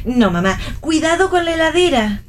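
An actress playing a guarded, wry young pregnant wife in a radio play, with an Argentine accent and a curt, dry manner. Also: female voice, high voice, very animated, normal speed, slight background noise. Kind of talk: radio theatre play reading.

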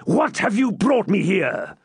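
A man's voice: mid-range voice, very animated, dry recording, studio quality, voice pushed up. A man voicing a furious Norman baron. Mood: menacing. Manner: bellowing, threatening, slow and heavy. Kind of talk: audiobook character reading.

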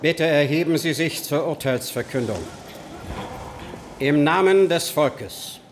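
A stern radio drama judge with a fairly high voice. He speaks in solemn, official phrases over faint noise and ambience. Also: slow. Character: formal, stern presiding judge in court.

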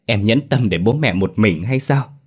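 A man's voice: steady tone, measured pace, husky. A man voicing a terrified, scheming woman who sobs and pleads for her life in a spoken horror story. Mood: accusing. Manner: sobbing, shrill pleading.

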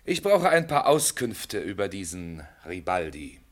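A man's voice: deep voice, sing-song, unhurried, over loud music. A male actor playing a long-suffering, courteous but dryly official police inspector in a radio crime drama. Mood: dry, businesslike.

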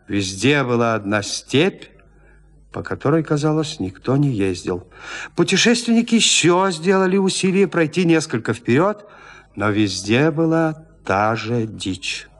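A man narrating a classic literary tale for an audio drama in a measured, expressive storytelling manner. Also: fairly high pitch.

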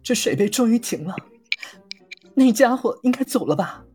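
A woman's voice: fairly high pitch, lively intonation, normal speed, mellow, breathy edge, soft background music, pitched above the performer's own voice. A woman voicing a flustered, indignant little game character in an audio drama, exclaiming and muttering complaints. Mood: grudging.

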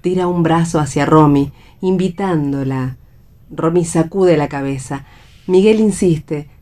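Low-voiced Argentine woman narrator. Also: measured pace, mellow. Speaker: narrator reading stage directions. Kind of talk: scripted narration for a radio play reading.

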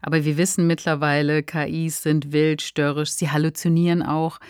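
A woman's voice: sits low, level tone, normal speed, darkish tone, clear, resonant tone, dry recording. A woman co-hosting a podcast, speaking in an articulate, explanatory way on a close microphone. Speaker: podcast host. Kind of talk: credits and conversational discussion.

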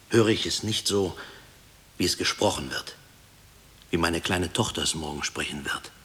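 Deep-voiced, haunted former prisoner. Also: male voice, fairly bright tone, big-room sound. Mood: intense, insistent. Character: haunted husband, former prisoner, brooding over his past. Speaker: actor in a radio play. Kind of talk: 1960s German radio drama acting.

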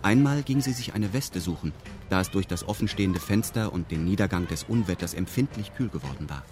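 A man's voice: deep voice, expressive, a little fast, music in the background. A man narrating a radio drama in the first person, in a formal, detached and meticulous manner. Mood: detached, exact. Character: detached man recounting events with pedantic exactness.

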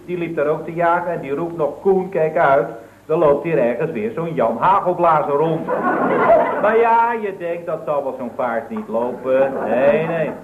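A man's voice: on the high side, normal speed, natural voice. A man playing a ranting indignant workman. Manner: ranting, indignant, deferential "chef" at every turn. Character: indignant male employee, fitness fanatic, ranting leftist. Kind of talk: 1960s radio comedy acting. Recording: echoey, tinny old radio broadcast with faint hiss.

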